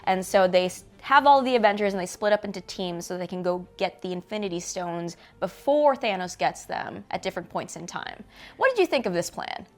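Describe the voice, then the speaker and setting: A woman's voice: mid-range voice, animated, brisk. A woman co-hosting a casual movie discussion in a chatty, conversational way. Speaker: entertainment show co-host reviewing a film.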